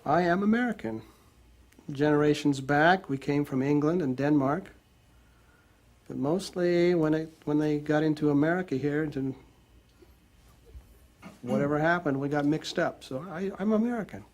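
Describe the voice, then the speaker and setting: A man speaking earnestly in a group discussion, halting and restarting often. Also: on the high side, darkish tone.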